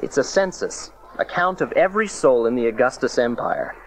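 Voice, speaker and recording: A gentle, devout carpenter talks to his wife in a dramatized Bible story. His voice moves widely in pitch, reassuring and gently humorous. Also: very animated, normal speed, creaky, soft background music, low-quality recording. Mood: grave, wry. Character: gentle, devout young carpenter, protective expectant husband. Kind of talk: dramatized audio Bible acting.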